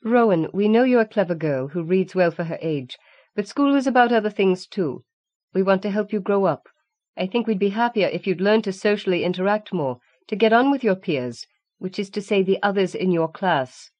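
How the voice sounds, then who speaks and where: A woman's voice: mid-range voice, expressive, moderate pace, a little mellow, no echo, pitched above the performer's own voice, natural voice. A woman voicing a prim, patronising schoolteacher who admonishes earnestly in an audiobook story. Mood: patient, admonishing.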